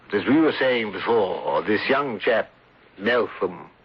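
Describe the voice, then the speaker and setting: An actor playing an ingratiating, mournfully sympathetic English gentleman in a radio drama, with a florid, hesitant delivery. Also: fairly low pitch, animated, unhurried, breathy edge, full character voice. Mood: probing, insinuating. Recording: dull, lo-fi 1970s radio recording.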